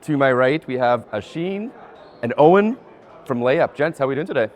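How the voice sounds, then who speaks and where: Male podcast interviewer, mid-pitched voice. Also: lively intonation, normal speed. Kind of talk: casual on-site interview. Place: indoor event venue, recorded in person.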